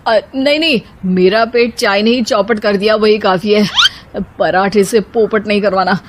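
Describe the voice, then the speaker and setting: A woman's voice: medium pitch, moderate pace, background noise, natural voice. Woman playing an awkward, hesitant male guest. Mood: uneasy. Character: awkward male house guest politely escaping. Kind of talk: animated moral-story character voice.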